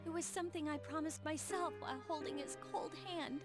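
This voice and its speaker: A woman's voice: sits high, fairly even intonation, fairly quick, close to an everyday voice. A woman voicing a grieving, stubborn teenage girl in a video game, impassioned and pleading. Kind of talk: dramatic video game voice acting. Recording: game audio with loud music. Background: music.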